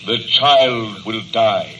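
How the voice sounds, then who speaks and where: An actor playing a solemn, commanding ghostly spirit guide, declaiming in a stately, measured way in a 1930s radio drama. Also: male voice, lowish voice. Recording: muffled, noisy old radio broadcast recording.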